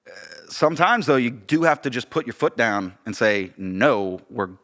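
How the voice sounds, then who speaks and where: A man with a bright voice and animated, widely moving pitch, chatting casually as a podcast co-host. He comes across as agreeable, readily affirming the other speaker while making his points. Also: normal speed. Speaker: podcast co-host, theater and audio director.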